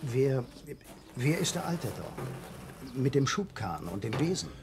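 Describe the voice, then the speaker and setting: An actor playing a stubborn, suspicious and touchy husband in a radio drama, with an insistent manner. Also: male voice, medium pitch, very animated, measured pace, gravelly, over music. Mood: probing. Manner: insistent, irritable. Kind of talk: German radio drama acting.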